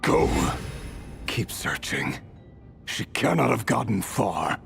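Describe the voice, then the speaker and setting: An actor voicing a menacing villain in a video game, with a deep gravelly growl and an ominous, commanding manner. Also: male voice, very animated, slow pace, music in the background, full character voice. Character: menacing male villain hunting an escaped woman.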